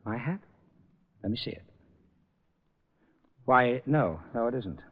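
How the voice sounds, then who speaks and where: Nervous, hesitant husband in muffled radio drama. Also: male voice, mid-range voice, lively intonation, takes it slowly, slightly rough, heavily acted. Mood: defensive. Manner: hesitant, anxious, stammering. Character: nervous, evasive henpecked husband. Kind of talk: old-time radio drama acting. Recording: muffled, tinny old radio recording.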